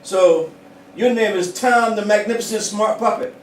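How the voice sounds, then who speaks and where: A man hosting a puppet show, bantering playfully in a colloquial, animated way and often repeating himself. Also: high voice, moderate pace. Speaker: host of a youth puppet show. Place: indoors at a museum. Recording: roomy, distant mic with faint noise.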